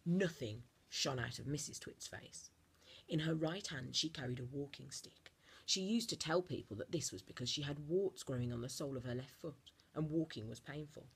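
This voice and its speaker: Female storyteller for a children's book, low and very breathy-husky, expressive, with theatrical stresses and pauses. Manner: theatrical emphasis with deliberate dramatic pauses. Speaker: teacher reading a storybook to children.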